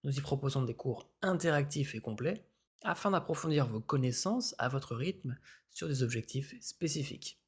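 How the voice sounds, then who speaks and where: A man narrating a scripted language lesson as the teacher, in a formal, measured, instructional manner. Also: medium pitch, lively intonation.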